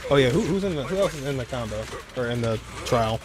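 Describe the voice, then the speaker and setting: A man's voice: medium pitch, expressive, fast talker, background noise. A man streaming a game, giving casual, offhand commentary as he plays, half talking to himself. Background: game music.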